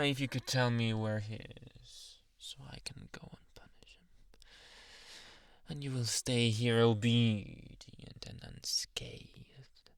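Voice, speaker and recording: Man playing a slow, whispery, possessive yandere boyfriend. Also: mid-range voice, no room sound. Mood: vengeful, quiet. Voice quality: breathy, often dropping into whispers. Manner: slow, intimate, menacingly tender, lingering on words. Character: jealous, possessive, obsessively loving yandere boyfriend. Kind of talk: anime boyfriend ASMR roleplay. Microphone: very close, dry ASMR microphone.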